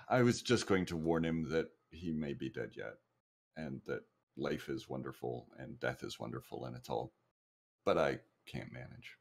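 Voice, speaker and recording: A man's voice: very deep voice, lively intonation, measured pace, creaky, husky. A man playing a tabletop game, talking hesitantly and a bit flustered. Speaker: player. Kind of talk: casual game chat.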